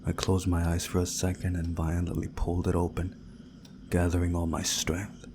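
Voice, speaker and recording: A man reading a visual novel story aloud in a measured, dramatic storytelling way, hushed at times. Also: sits low, completely flat delivery, heavy background noise. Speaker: video game playthrough narrator.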